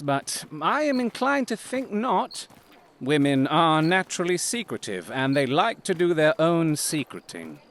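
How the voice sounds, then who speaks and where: Shrewd detective, audio drama, higher male voice, lively swooping delivery, precise and instructing, confident.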